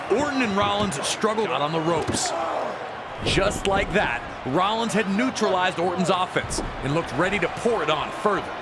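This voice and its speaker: A man giving emphatic, dramatic, scripted narration of wrestling matches as a commentator. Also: high voice. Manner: emphatic, dramatic storytelling delivery. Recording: loud crowd noise behind the voice.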